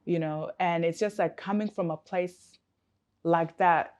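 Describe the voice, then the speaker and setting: A woman's voice: on the low side, fairly even intonation, a little slow, a little mellow, muffled recording. A woman from Africa, a coach, answering interview questions in an earnest, reflective way with many fillers and restarts.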